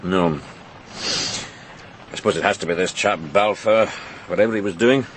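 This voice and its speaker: An actor playing a wry, brisk senior police chief in a radio crime drama, with measured, drawn-out emphasis. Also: male voice, low-pitched, background noise, natural voice. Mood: wry, businesslike.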